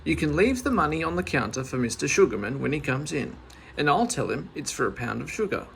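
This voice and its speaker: A man's voice: a little high, very animated, fairly quick, creaky, a little hiss or hum. A man voicing a meddling, self-important busybody in a children's story, eager and pompous. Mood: eager, pleased. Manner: obliging, pompous.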